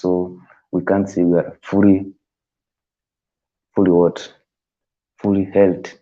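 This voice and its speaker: A man whose first language is not English, hosting a live stream in casual, halting talk that rephrases as he thinks aloud. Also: sits low, warm tone, highs cut off.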